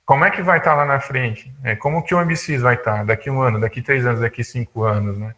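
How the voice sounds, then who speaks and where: A man of about thirty gives an informal talk, colloquial and halting, often repeating words as he thinks. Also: medium pitch, bright, forceful tone, rough recording. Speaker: guest speaker, tech entrepreneur. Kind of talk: informal talk at an online conference.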